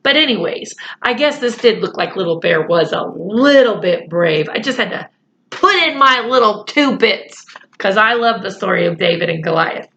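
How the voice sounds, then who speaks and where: A woman reading a children's book aloud, pausing for chatty asides to her listeners. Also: medium pitch, expressive, vocal fry, bright, forceful tone, muffled recording. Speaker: storyteller.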